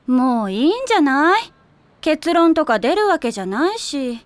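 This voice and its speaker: A blunt, offhand teenage girl team manager in an anime drama CD. Her voice is high and moves a lot in pitch. Music plays behind. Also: big pitch swings, moderate pace, no room sound. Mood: dry.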